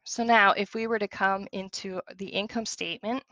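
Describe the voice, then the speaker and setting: A woman demonstrating software, walking through each step in a conversational tutorial style. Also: medium pitch, fairly even intonation, moderate pace, no room sound.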